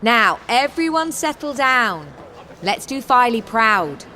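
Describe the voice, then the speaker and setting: A woman acting in an audio drama as a bossy, driven theatre director in 1940s England, with a brisk, commanding manner. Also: a little high, very animated, noisy background. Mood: brisk, impatient.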